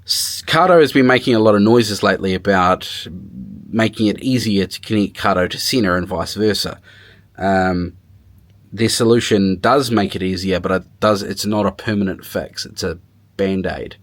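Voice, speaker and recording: A man's voice: low voice, moderate pace. A man talking informally and thinking aloud as he gives a casual hands-on demo and review of gear. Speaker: motorcycle tech reviewer.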